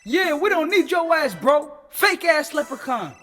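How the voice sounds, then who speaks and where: A man's voice: extremely high pitch, big pitch swings, a little slow, fairly bright tone, long reverb tail, soft background music. A man trading blunt, slangy comedic banter as the sidekick in a sports recap.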